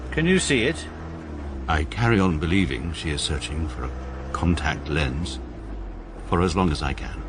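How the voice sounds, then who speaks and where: An actor playing a pompous, precise middle-aged English barrister, narrating his own life deadpan in an upper-class accent for a radio comedy drama. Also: a bass voice, normal speed, long pauses, noisy background.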